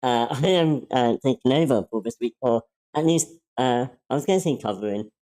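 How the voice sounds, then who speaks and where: Deep-voiced man hosting, halting and wry. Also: mellow. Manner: hesitant, full of ums and restarts, self-deprecating. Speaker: stand-in host of online table reads. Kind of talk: casual spoken hosting.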